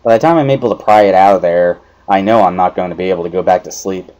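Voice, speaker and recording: A man's voice: deep voice, fast talker, a little mellow, muffled recording. A man giving a dramatic first-person narration of a visual novel story. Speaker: narrator of a let's play dramatic reading.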